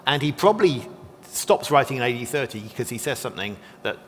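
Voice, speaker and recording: Guy explaining old history to a class, brisk and friendly, hall echo and a bit of hiss. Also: mid-range voice, very animated, fairly bright tone. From not from Texas. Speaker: guest scholar. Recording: long hall reverb with faint noise.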